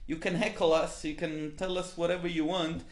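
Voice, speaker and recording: A male podcast host with a slightly high-pitched voice. He chats casually and informally, bantering and dropping the occasional mild curse. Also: level tone, moderate pace. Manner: informal, mildly swearing banter.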